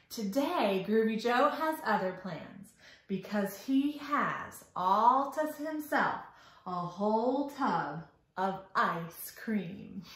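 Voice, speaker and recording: Very animated woman telling stories to young children. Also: on the high side. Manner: animated, sing-song, exaggerated expression, asks and praises. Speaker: children's library storytime host. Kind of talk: interactive storytelling for young children. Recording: very echoey room.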